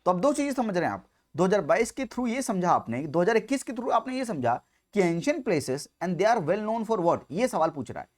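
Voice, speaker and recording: A male coaching teacher delivers an explanatory lecture with very lively, swinging intonation. He stresses key phrases, repeats them for emphasis and keeps throwing rhetorical questions at his students. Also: sits high, moderate pace.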